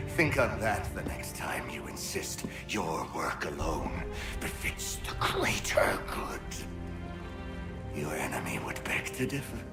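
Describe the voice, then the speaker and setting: An actor playing a defeated, wounded British officer in a video game, bitter and philosophical, with a sardonic, breathy delivery. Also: male voice, fairly low pitch, heavily acted. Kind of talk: dramatic video game acting. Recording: dramatic music under the voice.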